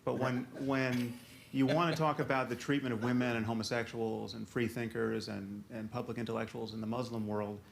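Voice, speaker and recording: A man's voice: medium pitch, little pitch movement, fairly quick, vocal fry, slight background noise. A man arguing in a televised panel debate, stumbling over restarts as he makes his point. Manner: stammering restarts when interrupted.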